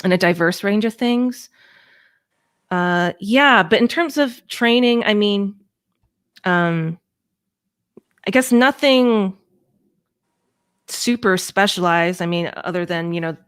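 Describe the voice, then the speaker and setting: A woman's voice: medium pitch, takes it slowly, long pauses, smooth. A woman from Hong Kong chatting casually as an interview guest, hesitant and self-effacing, thinking aloud. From Hong Kong, born in the US. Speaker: guest puppeteer and storyteller.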